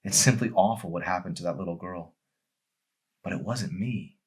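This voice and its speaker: A man's voice: low voice, moderate pace, husky. A man voicing a hurt, emotional young girl in an audiobook, with an emphatic, pleading manner. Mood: gentle.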